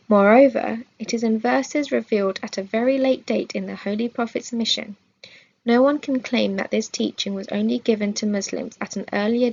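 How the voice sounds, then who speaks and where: Creaky-voiced woman reading a religious study. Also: mid-range voice, a little mellow, lo-fi sound. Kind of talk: scripted religious lesson read aloud.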